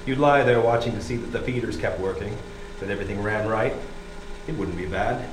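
A man performs a weathered lighthouse keeper narrating a horror radio play in a low voice. He savors each detail with theatrical, deliberate phrasing, inside an echoey stone space with some background noise. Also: close to an everyday voice. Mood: vivid, wistful. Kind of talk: dramatic first-person radio play narration. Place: on location inside an unused lighthouse.

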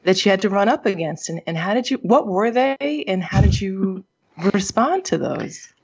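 A woman's voice: mid-range voice, lively intonation, moderate pace, a little mellow, husky. A woman interviewer asking long questions and correcting herself as she goes. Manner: rambling questions with self-corrections. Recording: muffled lo-fi audio.

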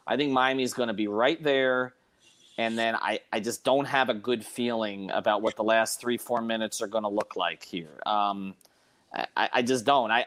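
A man with a medium-pitched voice hosts a casual sports discussion. He is emphatic, often doubling back on phrases to stress a point. The sound is dry, with little room to it. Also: fairly bright tone, no echo. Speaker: sports podcast host.

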